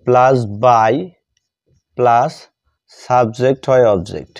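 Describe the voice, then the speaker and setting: A man with a Bengali accent teaching a grammar lesson step by step, halting and repeating phrases in short fragments as he writes. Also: medium pitch, a little mellow, clear, resonant tone. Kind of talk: step-by-step grammar lesson, spoken while writing. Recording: dull lo-fi sound.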